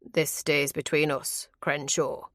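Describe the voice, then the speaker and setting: A woman voicing a commanding, troubled male aristocrat in an audiobook, formal, stern and deliberate. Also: low-pitched, level tone, fairly bright tone, dry recording, studio quality, exaggerated voice. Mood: stern, emphatic. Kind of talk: audiobook character voice.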